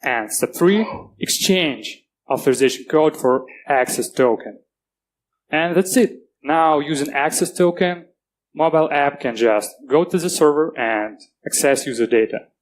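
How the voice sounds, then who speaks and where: A man with a Russian accent giving a technical security talk on a conference stage, earnest and plain-spoken, with halting restarts. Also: fairly high pitch. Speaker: conference speaker.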